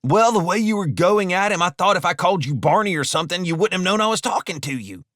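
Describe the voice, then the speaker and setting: Casting: man as dim Southern henchman in audiobook, defensive and flustered, pitched well above a normal male voice, dry recording. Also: lively intonation, fast talker, fairly bright tone, dry recording.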